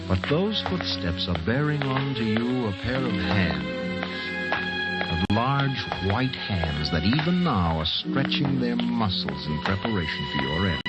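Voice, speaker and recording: A menacing male narrator from a vintage radio thriller, low in pitch and swooping dramatically. He is theatrical and insistent, taunting his victim directly. Prominent music plays behind him. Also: low-quality recording. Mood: urgent, ominous. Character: menacing, taunting male narrator stalking a doomed man with words.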